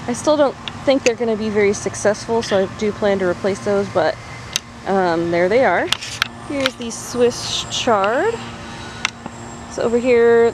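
A woman's voice: fairly high pitch, background noise. Chatty woman touring her garden outdoors. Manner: chatty, pointing things out plant by plant. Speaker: gardening vlogger. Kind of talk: casual garden tour narration. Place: outdoor backyard vegetable garden. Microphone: handheld phone camera, filming while walking.

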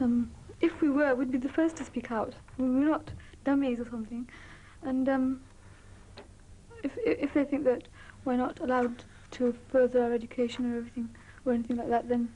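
A woman's voice: a little high, steady tone, moderate pace, mellow, slight background noise, poor audio. A teenage female student with a British accent giving her opinions in an informal interview. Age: teens. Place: small indoor classroom.

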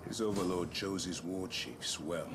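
An actor playing a resolute, stern male soldier in a dramatic video game, speaking in a measured, dry manner. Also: low voice, noisy background. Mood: dry, low-key. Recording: clear music behind.

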